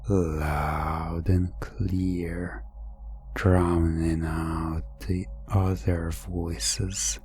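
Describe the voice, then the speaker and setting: A man narrating a scripted hypnosis ASMR story in a hushed, deliberate, dramatic manner. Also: deep voice. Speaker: narrator of a hypnosis audio roleplay.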